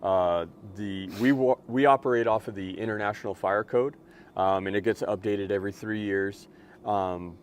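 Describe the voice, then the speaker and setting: A man with a fairly low voice explains procedures as an interview guest. His delivery is measured and plain-spoken, with noticeable noise behind him. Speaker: fire marshal's office lieutenant, interview guest. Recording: noticeable steady background noise.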